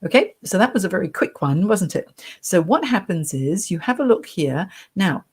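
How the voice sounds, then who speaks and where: Soft low-voiced career coach lady, bit husky, walking you thru her screen on a computer mic. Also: lively intonation, moderate pace. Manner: chatty, reassuring, talks through clicks. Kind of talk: screen-share tutorial.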